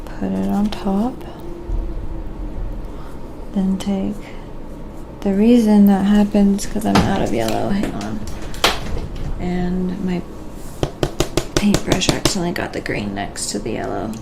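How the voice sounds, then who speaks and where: A woman narrates her painting casually to a live audience of viewers, thinking aloud one step at a time. Her delivery is very unhurried, with a fair amount of vocal fry. Clear background noise sits behind her voice. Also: medium pitch. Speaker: live-streaming painter, host.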